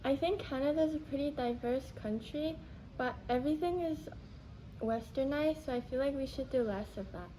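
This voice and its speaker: A woman's voice: sits high, moderate pace, mellow, poor audio. A woman giving casual interview answers, using frequent "like" fillers.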